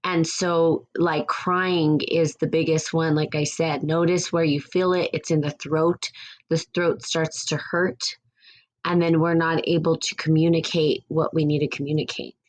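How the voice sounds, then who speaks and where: Woman podcast host, low raspy voice, meandering reflective delivery. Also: flat delivery, unhurried, poor audio. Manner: rambling and reflective, with frequent restarts. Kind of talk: casual spiritual podcast talk.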